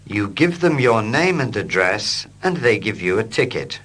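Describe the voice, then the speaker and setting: A man reading short English dialogues slowly and clearly for learners, line by line. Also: fairly low pitch. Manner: slow, clear and expressive. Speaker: English teacher. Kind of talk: slow scripted reading for learners.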